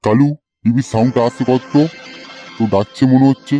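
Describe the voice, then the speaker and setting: A man voicing a gruff, teasing, grumbling village fellow in a comedy cartoon. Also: medium pitch, steady tone, a little fast, mellow, faint music behind, lightly acted. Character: gruff, mocking village petrol pump guy and club mate.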